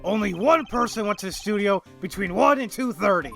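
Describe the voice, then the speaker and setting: A man playing a big, soft-hearted, blustering police detective in a video game fandub, blunt and earnest. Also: sits high, expressive, a little fast, creaky, faint music behind, exaggerated voice. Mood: emphatic.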